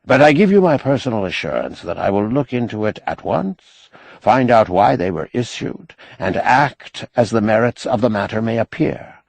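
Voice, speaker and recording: A man voicing a measured, guarded government secretary with calm authority, formal and restrained, in an audiobook. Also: on the low side, gravelly, breathy edge, dry recording, heavily acted. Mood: formal, reassuring. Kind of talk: audiobook character acting.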